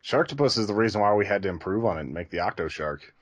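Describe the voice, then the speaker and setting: A man's voice: fairly low pitch, expressive, fast talker, crowd noise. A man co-hosting a podcast, chatting casually in a rambling, loosely phrased way. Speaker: podcast co-host. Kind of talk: casual chat.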